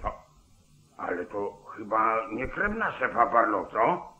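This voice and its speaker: An actor playing a weary, grumbling, henpecked small-town sheriff in a 1940s radio drama. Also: male voice, lowish voice, big pitch swings, unhurried, bright, forceful tone, full character voice. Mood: uneasy. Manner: grumbling, exasperated, sighing. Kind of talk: 1940s Polish radio play acting. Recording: tinny, band-limited old 1949 radio recording.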